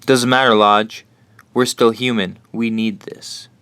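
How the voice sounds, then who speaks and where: A man's voice: on the low side, flat delivery, takes it slowly, pushed and bright, clean tone, dry recording. An actor playing a sincere, plain-spoken teenage boy who leads a group of survivors in a horror audio drama. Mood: firm, caring. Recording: music under the voice. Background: music.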